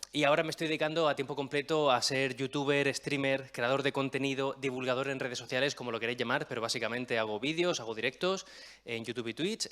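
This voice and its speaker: Fast-talking young man giving a talk. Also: medium pitch, fairly bright tone. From Murcia, Spain. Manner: chatty, explanatory, self-deprecating asides. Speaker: guest speaker. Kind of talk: public talk.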